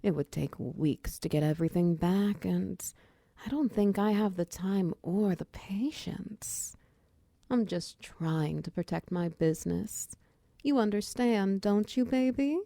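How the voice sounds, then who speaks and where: A hulking female minotaur wizard, played by a woman in an intimate audio roleplay. Her voice is mellow and gravelly with fry, recorded dry and close. She is commanding and teasing, slipping into breathy whispers. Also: medium pitch, unhurried, dry recording. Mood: businesslike, coaxing. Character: hulking muscular female minotaur wizard, bossy, teasing potion-shop owner. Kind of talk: roleplay ASMR audio drama.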